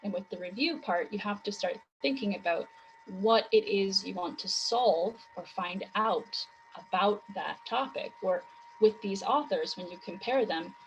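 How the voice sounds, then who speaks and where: A woman's voice: mid-range voice, highs cut off, a little hiss or hum. A woman writing tutor, losing her voice, explaining in long run-on sentences full of rhetorical questions. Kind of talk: conversational teaching talk.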